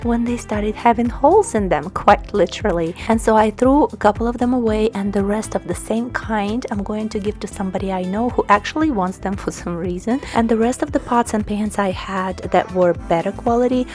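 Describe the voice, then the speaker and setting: A young woman with a slightly hoarse voice, chatting casually and informally to viewers in a home vlog voice-over. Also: medium pitch, fairly quick, few pauses, a little mellow. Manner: friendly, informal, addressing viewers directly. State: slightly hoarse, voice partly lost. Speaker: host of home cleaning vlog. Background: background music.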